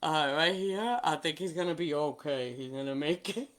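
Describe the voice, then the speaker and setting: A woman's voice: deep voice, normal speed. A woman from New York whose first language is Spanish, with a Spanish-influenced New York accent, chatting casually with viewers as she demonstrates nail art on a livestream. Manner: chatty, talks to viewers by name, laughs often. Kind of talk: casual live chat while demonstrating.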